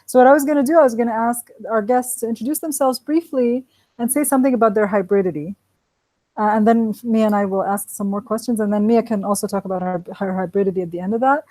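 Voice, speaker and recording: A woman with a mellow, warm voice hosts an online panel from a dry, close-sounding setup. She talks in a relaxed, chatty way with plenty of fillers, over a video call. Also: on the high side, quick pace, no echo, rough recording. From Kuwait. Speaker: host, associate professor.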